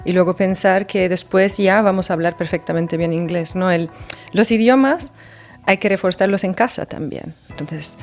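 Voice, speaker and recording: A woman with a somewhat low voice explains things with lively encouragement, piling up everyday examples. Faint music sits quietly behind her. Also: moderate pace. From Germany. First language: German. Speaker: language teacher. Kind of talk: educational radio chat.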